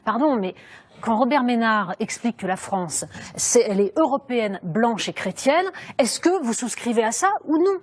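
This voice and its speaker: A woman interviewing a politician in a TV studio. Her voice sits fairly high, and she cuts in with pointed, challenging questions. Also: expressive, moderate pace. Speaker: interviewer, TV host.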